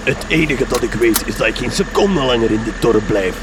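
A man playing a fed-up man fleeing a village in a radio play, shouting shrilly in a hurry. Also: mid-range voice, animated, fairly quick, background noise, close to an everyday voice.

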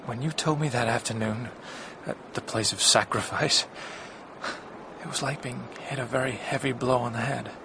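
An actor playing an earnest, devoted husband in a radio drama, speaking with emotional determination. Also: male voice, medium pitch, fairly even intonation, normal speed, lightly acted. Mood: earnest, pained. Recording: clear background ambience noise.

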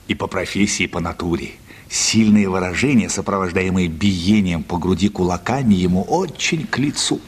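A man with a husky voice narrating a radio play as an expressive, scripted storyteller. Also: deep voice, normal speed. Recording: reverberant studio hall sound.

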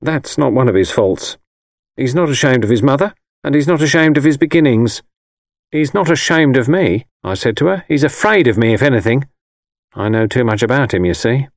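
A man's voice: mid-range voice, expressive, moderate pace, no echo, studio quality, voice pushed up, lightly acted. A man voicing a stern, grey-haired working-class mother, blunt and disapproving, in an audiobook story. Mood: blunt, knowing. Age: older. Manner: blunt, disapproving, dialect turns of phrase.

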